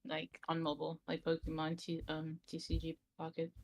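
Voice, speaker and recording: A man's voice: on the high side, completely flat delivery, normal speed, gravelly. A man chatting casually as a guest on a livestream. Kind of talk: casual chat.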